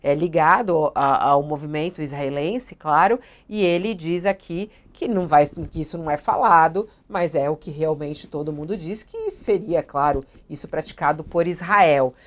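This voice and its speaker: A Brazilian woman with a fairly low voice hosts a live news show. She presents off the cuff, introducing and questioning in a conversational style. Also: normal speed, hardly any pauses. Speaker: news host.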